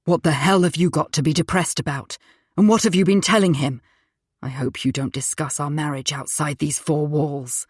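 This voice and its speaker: A woman's voice: deep voice, fairly even intonation, moderate pace, slightly rough, dry recording, clean studio sound, voice pushed down. A woman putting on a moody, controlling husband. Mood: angry. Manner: curt, dismissive, falsely sweet. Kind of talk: audiobook character voice.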